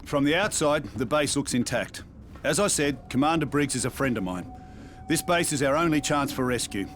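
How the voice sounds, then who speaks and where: A man's voice: medium pitch, lively intonation, normal speed, a bit bright, faint music behind, natural voice. An actor playing an earnest, insistent male survivor seeking rescue in a video game. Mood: insistent, hopeful. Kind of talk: video game cutscene acting.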